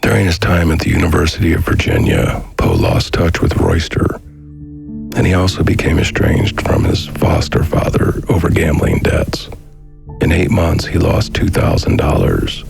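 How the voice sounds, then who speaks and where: Very deep, gravelly male narrator. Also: very animated, a little slow, a little mellow. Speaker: documentary narrator. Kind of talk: scripted documentary narration, reading letters and biography. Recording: faint music behind the voice. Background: faint background music.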